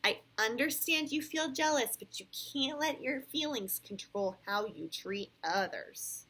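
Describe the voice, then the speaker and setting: A woman voicing a patient, understanding female schoolteacher in a children's storybook, calm and instructive. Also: a little high, a little slow, husky, pitched above the performer's own voice, heavily acted. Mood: gently firm.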